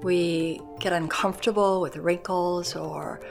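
A woman in her sixties speaks slowly and earnestly, a touch low in pitch, with soft laughs slipping in. She sounds like a yoga teacher and life coach giving her reflections for a radio feature. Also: steady tone, gravelly, faint music behind. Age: 60s. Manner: slow, earnest, laughs lightly. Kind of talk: interview reflections.

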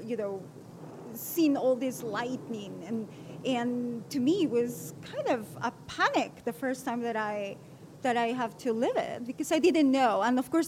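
Woman from peru, artist, gushing and excited about colors, slightly high voice. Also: noisy background. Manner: enthusiastic, effusive. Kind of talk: interview.

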